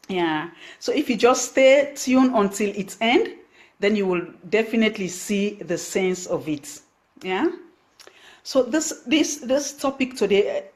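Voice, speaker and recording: A woman with a Sierra Leonean accent talks on a live stream, her voice sitting at a middling pitch. She speaks English as a second language, full of "um"s and restarts, and breaks off often to thank viewers by name. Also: a little mellow. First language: Krio. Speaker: online business coach. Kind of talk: Facebook live stream talk.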